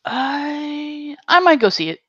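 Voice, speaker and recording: A woman's voice: sits high, expressive, slow pace, a bit bright, no echo. A young woman chatting casually as a podcast guest, giving her opinions bluntly and emphatically. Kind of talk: casual chat. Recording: dull, lo-fi, close dry audio.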